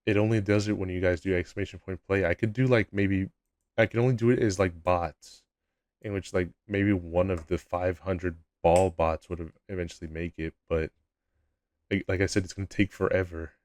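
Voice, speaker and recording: A young man with a low voice, chatting casually with his live-stream chat in a laid-back, rambling way at a desk mic at home. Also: fairly even intonation, normal speed, darkish tone, no echo, studio quality.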